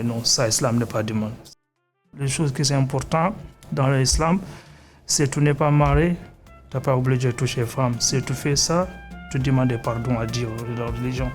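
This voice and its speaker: A young man of mid pitch lays out his counter-argument in plain, non-native French. Light music runs faintly in the background. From Senegal and Gambia. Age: young adult. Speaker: vocational student. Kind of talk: classroom debate.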